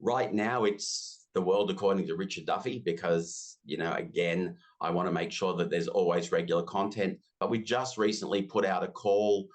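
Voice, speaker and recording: A deep-voiced Australian man in his fifties talks casually in an interview. He is chatty and self-deprecating and slips into quick asides. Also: fairly even intonation. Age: 50s. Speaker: interview guest, business software community founder.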